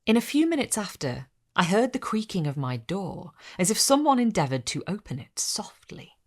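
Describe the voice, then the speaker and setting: Woman reading a Gothic tale hushed. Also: mid-range voice, sing-song. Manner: hushed, measured storytelling. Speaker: story reader. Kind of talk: dramatic reading of a Gothic novel.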